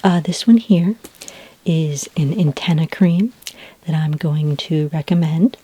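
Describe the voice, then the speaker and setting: A woman playing a kindly alien skin care consultant in an ASMR roleplay, speaking in a breathy near-whisper with a gentle, unhurried, reassuring manner. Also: low voice. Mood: soothing, reassuring.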